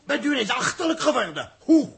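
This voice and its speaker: An actor playing an impatient, excitable spaceship technician in a 1960s radio drama, agitated and often repeating himself. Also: male voice, extremely high pitch, brisk, full character voice. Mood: scornful, eager. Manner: repetitive, agitated. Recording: rough early 1960s radio recording.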